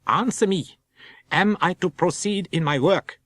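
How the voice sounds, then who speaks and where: Man doing a foreign professor, vampire hunter, grave, commanding, slow solemn delivery, broken grammar, Gothic storybook. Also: sits high, a bit bright, no echo, pitched above the performer's own voice. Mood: stern, urgent.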